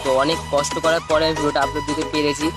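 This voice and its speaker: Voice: mid-range voice, level tone, racing, over loud music. A young adult host giving a casual vlog introduction to prank videos.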